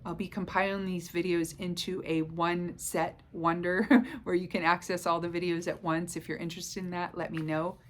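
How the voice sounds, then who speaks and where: Woman in her 40s, wellness coach, lowish voice, slow affirming talk straight to camera in a living room. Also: flat delivery, a little hiss or hum. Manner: slow, soothing, affirming delivery. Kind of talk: guided self-help talk to camera. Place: home living room by a stove.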